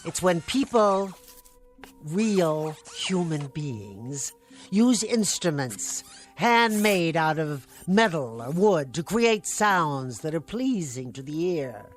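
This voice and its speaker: An actress playing a sharp-tongued woman who talks in a sarcastic, biting way in a radio drama. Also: deep voice, sing-song, slow, faint music behind, full character voice.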